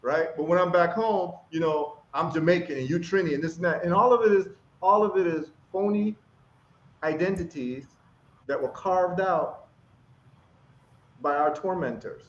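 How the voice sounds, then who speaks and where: A man's voice: on the high side, moderate pace. A man from Ghana, a guest in a casual chat, talking with passion and repeating phrases for emphasis. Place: live stream call. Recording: echoey, dull lo-fi stream audio.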